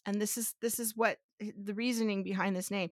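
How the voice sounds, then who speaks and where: A woman with a mid-range voice hosts a relaxed podcast chat, talking through her ideas step by step and often circling back with rhetorical questions. Her first language is Spanish. The sound is dry and close, with no room around it. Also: medium pitch, a little fast, dry recording. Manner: thinks aloud, with many restarts and rhetorical questions; explains step by step to her co-host. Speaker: podcast host.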